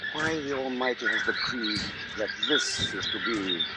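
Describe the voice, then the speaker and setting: An actor playing a grave, anxious family man, narrating solemnly in a measured 1950s radio drama style. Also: medium pitch, animated, very noisy, close to an everyday voice. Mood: weary dread. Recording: 1950s radio recording with music behind.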